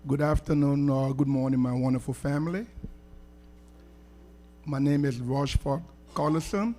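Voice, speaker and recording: A man's voice: mid-range voice, normal speed, dark tone. A man from Liberia, introducing himself on a panel in a formal, courteous way with long listing sentences. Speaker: researcher on a panel.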